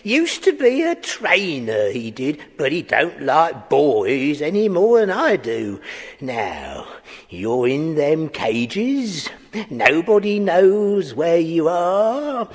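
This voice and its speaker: An actor playing a slow-witted, gloating henchman with uneducated speech in a children's audio drama. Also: fairly high pitch, big pitch swings, heavily acted. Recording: echoey hall reverb.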